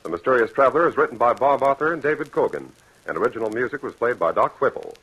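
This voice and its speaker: Male vintage radio announcer, formal, bright, declamatory, husky edge. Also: mid-range voice, expressive, quick pace, poor audio.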